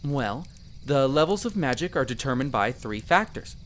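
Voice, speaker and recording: Man playing lively, friendly young elf explorer. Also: medium pitch, normal speed, slight background noise. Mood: earnest, explanatory. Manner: chatty, patiently explanatory, playful. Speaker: character in a roleplay audio drama. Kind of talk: in-character conversational roleplay addressed to the listener.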